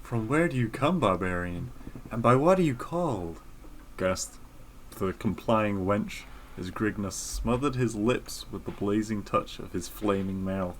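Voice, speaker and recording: A man's voice: lowish voice, animated, measured pace, a little hiss or hum, rough recording. A man co-hosting a podcast, chatting casually and reading passages of a story aloud. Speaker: podcast co-host.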